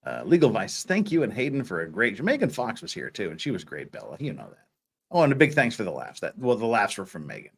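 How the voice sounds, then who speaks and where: Male livestream host, lively swinging intonation, casual chat, jokey, playfully scolding. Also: lowish voice, quick pace, gravelly, breathy edge. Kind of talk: casual livestream chat, thanking viewers and reading comments.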